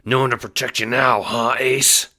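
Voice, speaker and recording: A man's voice: medium pitch, little pitch movement, normal speed, pushed and bright, pitched above the performer's own voice. Man acting a bellowing burly thug, raised voice. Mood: threatening. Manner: bellowing, sneering. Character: massive burly menacing male bookie thug.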